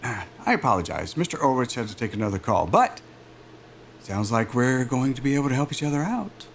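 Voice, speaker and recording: A man playing a breezy corporate recruiter in an audio drama, with a casual, smooth way of talking. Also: mid-range voice, very animated, a little fast, a little hiss or hum. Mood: businesslike. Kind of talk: cinematic audio drama acting.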